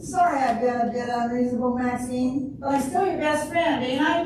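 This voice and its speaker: A grumpy, cheeky older lady played by a woman in a readers theater speaks in a somewhat high voice with deadpan comic timing. She is distant from the microphone in a very echoey room, with clear background noise. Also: brisk, close to an everyday voice. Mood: sheepish, pleading. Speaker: amateur readers theater performer. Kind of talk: comedic stage reading. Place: large echoey room before an audience. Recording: echoey hall from far off, noisy.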